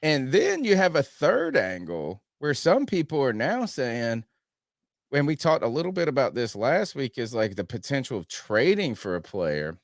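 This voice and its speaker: A man's voice: medium pitch, wildly swooping pitch, moderate pace, dry recording, clean studio sound. A man hosting a casual sports podcast, rambling through his points and swearing freely. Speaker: podcast host.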